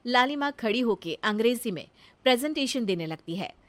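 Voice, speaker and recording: A woman storyteller with a fairly high voice reads scripted narration for a cartoon tale. She sets out the scenes between the characters. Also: animated, normal speed.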